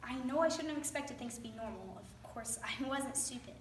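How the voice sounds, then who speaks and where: A woman's voice: a little high, animated, fairly quick, lightly acted. A young woman playing a troubled, isolated teenage daughter of a drunk driver in a readers theater monologue. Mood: wounded, wry. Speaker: student readers theater performer. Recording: echoey room from far off, faint noise.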